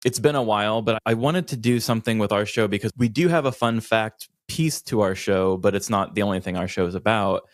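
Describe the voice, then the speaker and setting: A man's voice: lowish voice, brisk, few pauses, no echo, studio quality. A man chatting casually as a podcast co-host. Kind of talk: casual chat.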